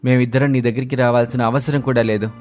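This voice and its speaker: A man's voice: mid-range voice, steady tone, fast, a little mellow, soft background music, close to an everyday voice. Man acting a firm, loyal young husband. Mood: defiant.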